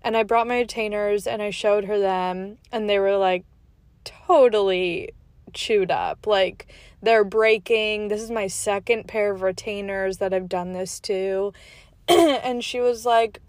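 A woman's voice: mid-range voice, steady tone, measured pace, darkish tone, no room sound. A young woman hosting her podcast from her car, sounding stuffy and nasal from a cold, telling a rambling, casual story full of "like" with laughs between asides. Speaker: podcast host. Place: inside a parked car.

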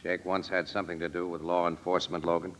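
A low-voiced, streetwise crime photographer from 1940s radio drama. He talks in wry, laconic slang with a tough edge. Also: little pitch movement, fast talker, a bit bright. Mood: grim, knowing.

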